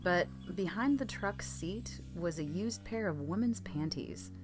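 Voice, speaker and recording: Low-pitched woman narrating scary stories. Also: animated, unhurried, music in the background. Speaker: narrator reading submitted horror stories. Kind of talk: scripted storytelling narration of true scary stories.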